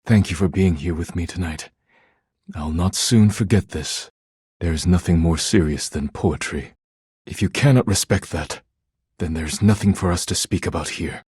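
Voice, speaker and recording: A man playing a proud, thin-skinned poetic living dish in a video game, speaking in a formal, deliberate, grave manner. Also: low-pitched, a little mellow, breathy edge, no room sound, studio quality, heavily acted. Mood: wounded, cold. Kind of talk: video game character acting.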